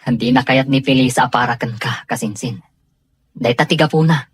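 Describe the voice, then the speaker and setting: A man with an Ilocano accent acting a troubled relative in a radio drama, his voice slightly husky. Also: mid-range voice, brisk, no echo, natural voice. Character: troubled cousin searching for loved ones.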